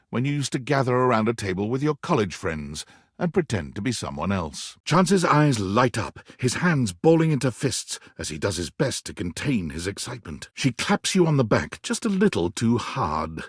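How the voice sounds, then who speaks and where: A man's voice: mid-range voice, husky, dry recording, studio quality. A man playing a dramatic storyteller, narrating a video game in a theatrical, scripted second-person style.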